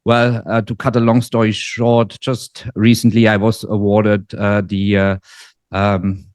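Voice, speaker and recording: Man, forties, German accent, lowish voice, dry close sound, filler-heavy interview talk. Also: monotone, a little mellow, dry recording. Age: 40s. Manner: measured, many fillers and restarts. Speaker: guest trader. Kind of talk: casual interview. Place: living in Dubai.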